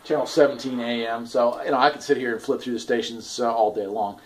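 A man in his forties talking casually and haltingly in an off-the-cuff video review, recorded in a garage on a camera at arm's length. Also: medium pitch, steady tone, slight background noise. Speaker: product reviewer, farm owner. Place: garage, indoors.

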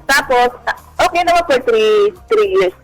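A woman speaks as a caller on a radio advice programme. She shares her own story in a casual way, with halting and broken-up phrasing. Faint music plays underneath. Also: high-pitched, expressive, creaky, no echo, poor audio.

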